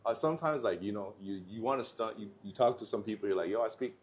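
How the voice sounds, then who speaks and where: A young man from Canada talking casually as a podcast guest, slangy and loose, with lots of "like" and quick bursts. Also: fairly low pitch, slight background noise. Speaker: rapper guest.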